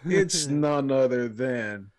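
A man co-hosting a podcast in a casual, profane chat, laughing often and breaking into bursts of fast talk. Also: mid-range voice, expressive, a little mellow, no room sound. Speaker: podcast co-host.

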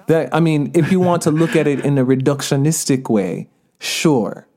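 A man's voice: a little high, expressive, darkish tone. Earnest man with a Jamaican lilt. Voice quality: laughs often while talking, mixes in patois phrases. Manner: earnest, slows down to stress key words. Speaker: podcast guest. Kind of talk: casual podcast conversation.

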